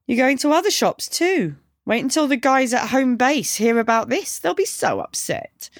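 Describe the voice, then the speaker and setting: A woman voicing a brash, sarcastic young punk woman in audiobook dialogue, deadpan and taunting. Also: sits high, normal speed, no echo, clean studio sound, voice pushed up, heavily acted. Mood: deadpan teasing.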